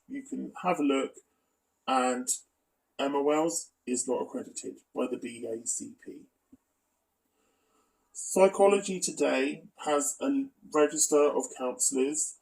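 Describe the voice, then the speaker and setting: A man's voice: medium pitch, vocal fry, a little mellow, recorded from a distance, rough recording. A man giving critical, explanatory commentary as a video commentator.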